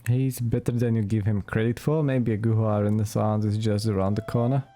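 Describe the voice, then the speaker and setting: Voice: medium pitch, steady tone, a little fast, dark tone. A gamer giving casual let's play commentary with dry, teasing asides.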